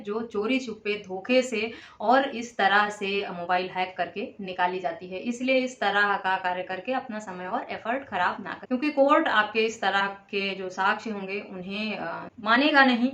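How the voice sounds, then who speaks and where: A woman giving a measured, instructive explanation to viewers, in the style of a legal awareness host. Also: medium pitch, a bit bright. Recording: echoey, reverberant room.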